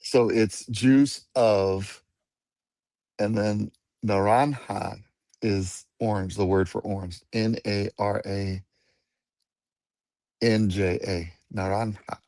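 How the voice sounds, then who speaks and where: A man's voice: on the low side, steady tone, slow pace, long pauses, darkish tone, no echo. A man teaching Spanish in a casual chat, patient and spelling words out letter by letter. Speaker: guest Spanish speaker.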